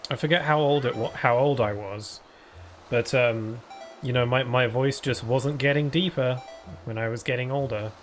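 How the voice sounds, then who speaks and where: A man's voice: mid-range voice, normal speed, soft background music. A man streaming a game live, chatting loosely to viewers with lots of fillers and restarts, his voice what he calls a permanent cold voice. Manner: answers viewer chat aloud. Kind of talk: casual live-stream chat while playing.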